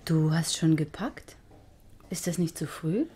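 An actress playing a wounded, pleading woman detective in a crime radio drama, narrating and speaking in a measured, hushed way. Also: low-pitched, heavy background noise. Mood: gentle pleading.

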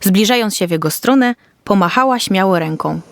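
Woman narrating a children's fairy tale, mid-pitched. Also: expressive, a little fast, vocal fry, no echo. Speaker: storyteller.

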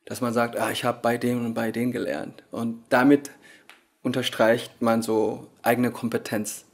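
A man in his thirties, Korean-born, who speaks with many pauses and fillers as a yoga teacher answering interview questions, recorded indoors on a handheld recorder. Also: mid-range voice, level tone. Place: hotel lounge at a conference, indoors. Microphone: handheld recorder held by the interviewer.

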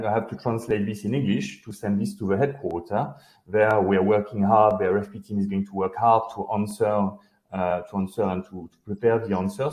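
A middle-aged Frenchman explains his career over a video call, speaking through a headset with a French accent. His voice sits a little low and sounds mellow and dark. The room audio is noticeably echoey, and he stops and restarts often. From France. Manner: explanatory, many hesitations and restarts. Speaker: guest, company founder. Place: indoor home office.

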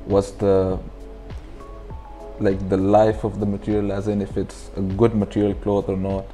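Young man, mid-twenties, from the UAE. Low, mellow voice, casual explanatory interview delivery, light music behind. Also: monotone, unhurried. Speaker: interview guest, clothing brand founder. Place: furnished indoor lounge. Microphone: lapel.

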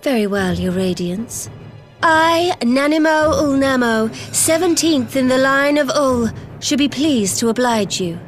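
Young video game sultana: higher pitched, formal, earnest, regal. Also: female voice, lively intonation, a little slow, soft background music. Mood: earnest, resolute. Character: small, dignified young sultana, earnest and resolute.

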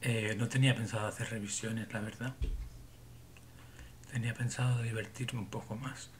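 A man's voice: fairly low pitch, steady tone, normal speed, a little hiss or hum. A man in his forties from Barcelona, chatting casually with viewers on a livestream from a small room at home, often rambling and muttering to himself. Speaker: livestream host.

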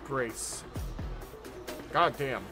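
A man's voice: mid-range voice, extremely slow, over loud music. A man chatting casually as he streams live reactions to game trailers.

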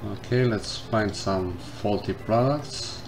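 A man commenting casually on a game as he plays, reading the game text aloud and thinking out loud. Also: fairly low pitch, slow pace. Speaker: gameplay streamer. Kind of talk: casual let's-play commentary. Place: indoors at a computer. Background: game music.

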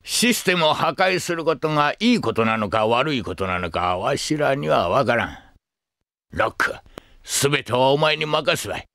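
An actor playing a wise, accepting old man in an anime-style game, with folksy, deliberate elderly speech. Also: medium pitch, sing-song, creaky, bright, forceful tone, no room sound, studio quality, exaggerated voice. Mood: reflective, resigned. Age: older. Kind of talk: anime video game voice acting.

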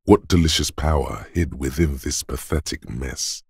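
A man voicing a brooding, grandiose inner voice of the body in a video game, sardonic and ominous, with a husky rasp. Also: lively intonation, normal speed, no room sound, studio quality, heavily acted. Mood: proud, grandiose. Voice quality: very deep, gravelly, husky rasp. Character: gravelly inner voice of a man's bodily endurance, brooding, grandiose.

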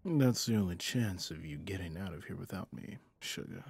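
A man playing a flirtatious, possessive mafia boss in an intimate ASMR roleplay, teasing and menacingly smooth, with a husky, breathy delivery. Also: animated, darkish tone, dry recording, full character voice. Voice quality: low, husky, often dropping to a whisper. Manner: slow, teasing, intimate, with pet names. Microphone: close studio microphone, dry.

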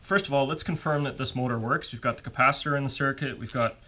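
A man's voice: mid-range voice, fast, pushed and bright, slight background noise. Man giving a hands-on demo. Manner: informal, explanatory, step-by-step. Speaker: technician instructor. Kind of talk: casual hands-on technical demonstration. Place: indoors at a workbench.

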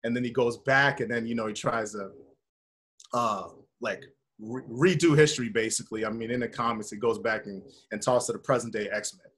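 An American man in his thirties chatting casually on a video call, telling stories in a slangy, rambling, animated way. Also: medium pitch, a little fast, slightly rough. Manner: animated, slangy, rambling with frequent "you know" and "like". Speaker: actor being interviewed. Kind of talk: casual chat, storytelling. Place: indoor room, video call.

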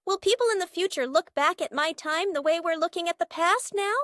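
Woman as a curious high-voiced young boy. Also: a little fast, a bit bright, no echo, clean studio sound. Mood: questioning. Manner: earnest, questioning. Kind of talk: children's storybook character reading.

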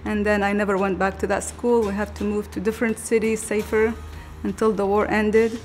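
A woman's voice: mid-range voice, little pitch movement, a little fast, faint music behind. A woman, speaking simply and sincerely about growing up. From Iraq. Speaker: interviewee. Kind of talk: documentary interview. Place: dining room, indoors.

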